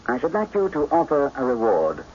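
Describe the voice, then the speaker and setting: Courteous sleuth, coolly methodical, varied intonation, muffled radio drama recording. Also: male voice, medium pitch, wildly swooping pitch, darkish tone, a little hiss or hum. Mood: polite, probing. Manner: courteous, measured, probing questions. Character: shrewd English gentleman detective, polite, coolly methodical.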